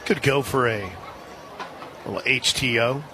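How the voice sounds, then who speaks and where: A man calling softball play-by-play on the radio, dropping into casual banter between pitches. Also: measured pace. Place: outdoor softball stadium broadcast position. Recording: outdoor stadium crowd and ambient noise. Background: crowd noise.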